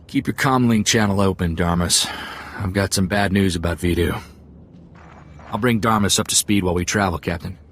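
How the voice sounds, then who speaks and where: An actor playing an eager, friendly gunslinger sidekick in a video game, with an easygoing, folksy way of talking. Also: male voice, sits low, normal speed, fairly bright tone, a little hiss or hum. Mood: concerned.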